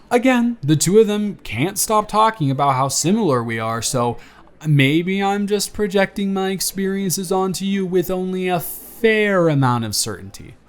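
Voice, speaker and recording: A man's voice: a little high, close to an everyday voice. A man playing a charming, teasing young man on a blind date, chatty and playful in a romantic audio roleplay. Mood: teasing, eager.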